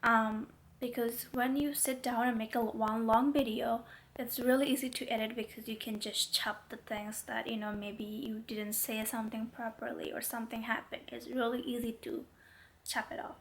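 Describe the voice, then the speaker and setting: Woman, young adult, congested stuffy voice, chatty vlog, rambling with fillers, slightly high pitch. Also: little pitch movement, normal speed. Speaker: vlogger. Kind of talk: casual talking-to-camera vlog.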